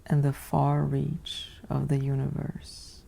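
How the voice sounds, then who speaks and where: A woman guiding a sleep meditation in a soft, whispery, soothing voice with long pauses, like ASMR bedtime narration. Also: sits low, completely flat delivery, gravelly.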